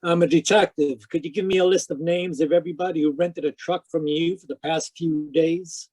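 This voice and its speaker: A man's voice: on the high side, fairly even intonation, brisk, a little mellow, husky. A man acting a wry, persistent hard-boiled private detective in an old-time radio mystery, telling it matter-of-factly. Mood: businesslike. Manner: wry, matter-of-fact storytelling. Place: close dry sound, remote live performance.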